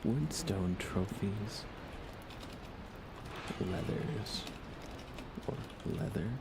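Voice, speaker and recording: A man speaking softly and gently in an unhurried ASMR style while chatting on a live gaming stream, his voice hushed and at times close to a whisper. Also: fairly low pitch, lively intonation, very noisy. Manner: gentle, unhurried, thinking aloud. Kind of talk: soft-spoken ASMR gaming stream chat.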